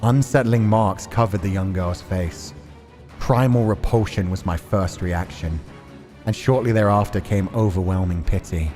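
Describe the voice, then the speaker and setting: A man reading a visual novel aloud as an expressive, dramatic narrator, acting out the characters. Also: deep voice, a little slow, long reverb tail, faint music behind.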